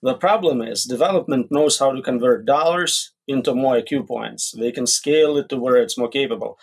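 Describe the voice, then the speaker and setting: A man's voice: medium pitch, fairly quick, breathy edge. A man answering as an expert guest in an interview, explaining in short, plain statements with examples. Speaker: interview guest, AI safety researcher.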